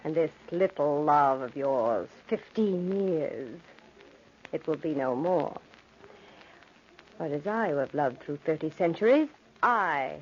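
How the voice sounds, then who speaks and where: Swooping, imperious ancient Egyptian temptress. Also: female voice, deep voice, expressive, darkish tone. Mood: mocking, ominous. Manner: theatrical, haughty, deliberate phrasing. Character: mysterious ancient Egyptian woman, seductive, imperious, menacing. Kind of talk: old-time radio drama acting. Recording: muffled, noisy old radio recording.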